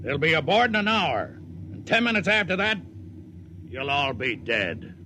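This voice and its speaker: An actor playing a scornful, gloating spaceship captain in a 1950s radio drama, with formal, taunting phrasing. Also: male voice, a little high, very animated, normal speed. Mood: smug menace. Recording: noisy vintage radio drama recording.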